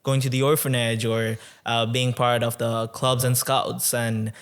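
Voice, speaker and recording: A man in his twenties from Tanzania, a podcast guest telling his story in a reflective, conversational way, often pausing with um and uh. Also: mid-range voice, flat delivery, fairly bright tone, clean tone. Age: 20s. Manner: reflective, with many um and uh pauses.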